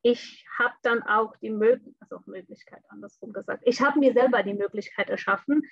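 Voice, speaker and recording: A young woman who grew up in Bangladesh speaks frankly as an interview guest, pausing often with fillers. Her voice sits a little high. The recording is dry and somewhat dull. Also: dry recording. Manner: open, frank and reflective, with frequent fillers. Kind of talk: conversational interview.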